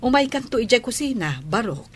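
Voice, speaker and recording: A woman's voice: a little high, sing-song, a little fast, husky. A woman acting in a radio drama as a devoted, doting household nanny.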